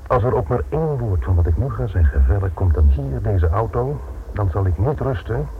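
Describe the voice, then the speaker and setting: A man's voice: fairly low pitch, close to an everyday voice. A man acting in a radio play as a stern, sardonic police inspector, speaking formally in clipped, sceptical phrases. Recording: tinny, band-limited old radio recording with noise.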